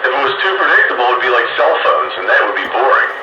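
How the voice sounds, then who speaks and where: Through loud radio noise comes a man of medium pitch, slightly muffled and roomy. He chats casually as an operator hosting an on-air get-together. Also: sing-song, quick pace. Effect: two-way radio transmission.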